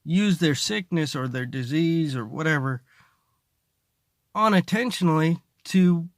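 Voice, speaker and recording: A man with a creaky voice giving a casual, rambling, sarcastic comedy monologue, recorded in a car. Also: fairly high pitch, lively intonation, a little slow, no echo, clean studio sound. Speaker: stand-up comedian, vlogger. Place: inside a parked car.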